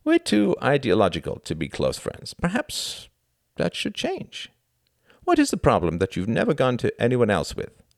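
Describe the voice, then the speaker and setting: A man's voice: medium pitch, wildly swooping pitch, normal speed, gravelly, no echo, studio quality, pitched above the performer's own voice. A man voicing an earnest, brooding young German man with a wistful, heartfelt manner. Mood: probing.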